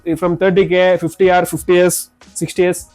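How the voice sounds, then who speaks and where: Fast-talking Indian shop salesman. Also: high voice, flat delivery, a little mellow, soft background music. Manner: repeats words and phrases while explaining. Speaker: shop salesman presenting products. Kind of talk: informal product demonstration.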